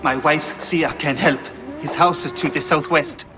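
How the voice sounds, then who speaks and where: A man playing a despairing, halting high priest of ancient Egypt in a dramatic video game scene. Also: steady tone, lightly acted. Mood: subdued. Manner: halting, grave, sorrowful. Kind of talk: dramatic game cutscene acting.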